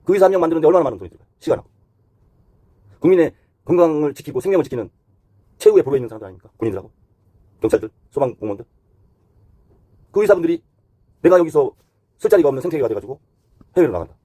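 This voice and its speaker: Fast-talking husky man giving commentary. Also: fairly high pitch, animated, mellow, no room sound. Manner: earnest, critical, poses rhetorical questions. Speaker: host and commentator. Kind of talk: current-affairs opinion monologue.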